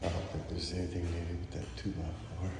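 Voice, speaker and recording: A man's voice: sits low, completely flat delivery, a little fast. A man doing a casual, off-the-cuff walkthrough of a house repair check, speaking in short fragments as a realtor. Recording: very echoey empty rooms, loud background noise.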